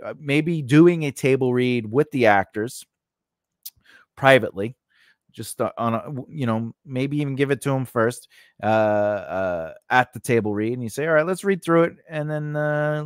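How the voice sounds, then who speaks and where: Male instructor, forties, medium pitch, dry close-mic sound, conversational explainer who often stops and rephrases. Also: dry recording. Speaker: host of a scriptwriting lesson. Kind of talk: informal instructional talk to camera. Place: small indoor recording space. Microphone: close studio microphone on a stand.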